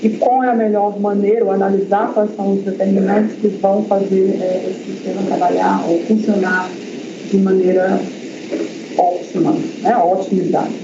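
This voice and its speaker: Man, high voice, hesitant seminar presentation, echoey lecture hall, dull lo-fi recording. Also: level tone, normal speed, noisy background. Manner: hesitant, many fillers and restarts. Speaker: researcher presenting a project. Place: university lecture room.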